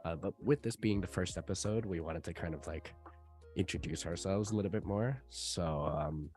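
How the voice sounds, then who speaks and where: A man's voice: low-pitched, normal speed, a little mellow, faint music behind. A nineteen-year-old man hosting a casual podcast, talking with hesitant ums and easy laughs. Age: young adult. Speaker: host.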